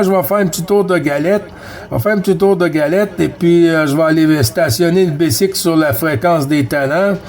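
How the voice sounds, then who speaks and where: A man with a Quebec French accent and a slightly high voice talks as a hobby radio operator. He keeps it casual and friendly, with courteous handovers and plenty of radio jargon. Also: darkish tone. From Quebec, Canada. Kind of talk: casual radio contact chat.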